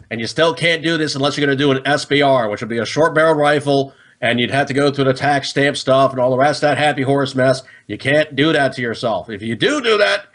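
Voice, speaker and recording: A man's voice: medium pitch, a bit bright. An American man hosting a casual, unscripted show, explaining things to viewers in a folksy, slangy, chatty way and often checking in as he goes. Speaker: host of a hobby gun and gadget show.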